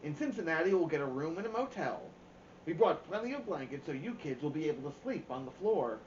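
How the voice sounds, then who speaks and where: A man voicing a brisk, organized mother who announces road-trip plans with emphasis in a storybook. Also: fairly high pitch, very animated. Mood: organized, upbeat. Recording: roomy, dull, faint background noise.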